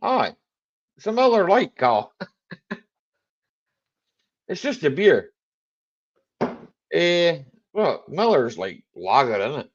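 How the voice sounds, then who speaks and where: A man with a strong Scottish Highland accent hosting a casual live chat, joking, laughing often and dropping "aye" and "eh" into his talk. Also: very animated, takes it slowly, long pauses, highs cut off. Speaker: livestream host.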